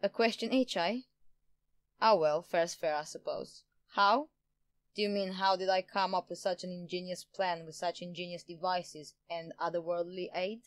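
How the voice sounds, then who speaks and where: An actress plays a haughty, power-hungry stateswoman in an audio drama. Her voice sits a little low for a woman and is recorded very dry. She speaks with smug, theatrical pomposity, relishing her own schemes. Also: steady tone, moderate pace, no room sound, natural voice. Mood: smug, explaining. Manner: theatrical, pompous, self-satisfied. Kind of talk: audio drama villain acting.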